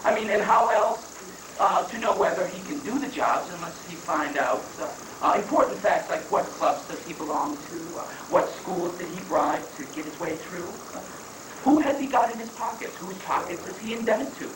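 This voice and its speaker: A man's voice: high voice, expressive, normal speed. A man playing a scheming advisor, distant and echoey. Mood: suspicious. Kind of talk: dramatic reading of a satirical play. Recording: echoey noisy room, distant microphone.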